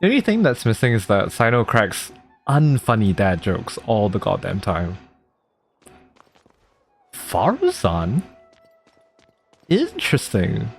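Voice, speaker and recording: An animated game streamer with a mid-pitched voice that moves around a lot. The talk is casual and sweary, easily amused, reacting live over soft game music. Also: slow pace, creaky, pauses often. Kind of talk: live gameplay commentary with read-aloud game text and reactions. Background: video game music.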